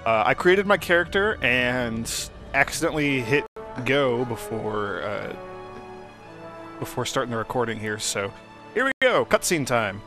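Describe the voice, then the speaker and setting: A man with a mid-pitched voice talks casually through a video game. His delivery is wry and understated, and game music plays clearly behind him. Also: very animated, unhurried. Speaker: let's play host. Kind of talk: casual gameplay commentary. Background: game soundtrack.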